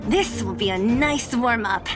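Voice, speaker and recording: A woman's voice: on the high side, measured pace, natural voice. A woman playing a composed, sceptical young female warrior in an anime-style video game, matter-of-fact, commanding and mildly exasperated. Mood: confident. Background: music.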